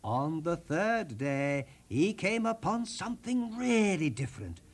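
A man narrates a children's storybook at a leisurely pace, with huge swings of intonation. His voice is husky and a little high, and he savors each description in a theatrical, deliberate manner. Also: sing-song. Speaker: storyteller host. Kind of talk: expressive children's storybook reading.

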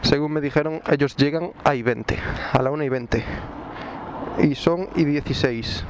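Picture a young man from Galicia chatting to himself and his viewers while riding, his voice a touch higher than most men's. His helmet camera catches it with clear wind and traffic rumble underneath. It sounds like a casual motorcycle vlog. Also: normal speed. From Galicia, Spain. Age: 20s. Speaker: motovlogger host. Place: on a motorcycle in city streets, outdoors.

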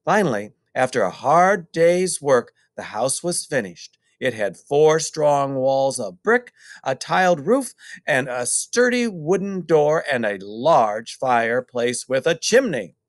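A middle-aged man narrating a children's story expressively, with deliberate, emphatic phrasing. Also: mid-range voice, dry recording, studio quality. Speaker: storybook reader.

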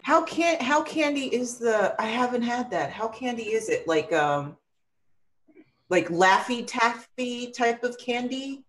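A woman co-hosting a casual panel chat on a video call, talking in a playful, animated way. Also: fairly high pitch, measured pace. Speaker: co-host. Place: room at home.

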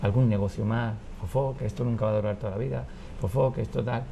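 A man in his fifties, born in Cuba, chatting as an interview guest in a wood-panelled studio, telling family anecdotes with lots of restarts and lively acted quotes. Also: mid-range voice, quick pace, vocal fry, slight background noise. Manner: animated, gestures with hands, many restarts and acted quotes. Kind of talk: relaxed conversational storytelling. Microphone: lapel mic.

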